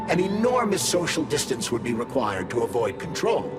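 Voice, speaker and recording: A man's voice: medium pitch, very animated, moderate pace, lightly acted. An actor voicing a sardonic male AI companion who lectures in a dry, explanatory way in a game. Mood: lecturing. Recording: game cutscene with loud music.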